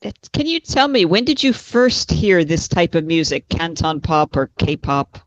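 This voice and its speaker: Woman, examiner, short prompting questions, slightly low voice, dry close sound. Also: sing-song, unhurried, no room sound, low-quality recording. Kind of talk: mock speaking exam interview.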